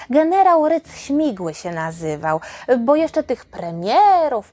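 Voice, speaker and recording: A woman host narrating a history story for children in a colloquial, expressive way. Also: fairly high pitch. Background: background music.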